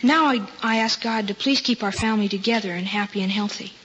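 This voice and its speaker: A man's voice: high voice, quick pace, bright, forceful tone. A young man giving an earnest, scripted appeal in an old-time radio style. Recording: dull, reverberant, hissy old radio broadcast.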